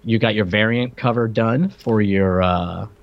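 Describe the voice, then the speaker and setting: Lower-pitched man, joking podcast co-host. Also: unhurried, gravelly, muffled recording. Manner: joking, teasing. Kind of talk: casual chat.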